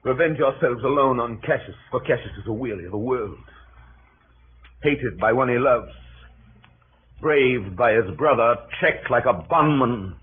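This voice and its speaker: An actor playing an aggrieved, hot-tempered Roman conspirator in declamatory, impassioned 1930s radio Shakespeare style. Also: male voice, mid-range voice, natural voice. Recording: muffled, noisy 1930s radio broadcast.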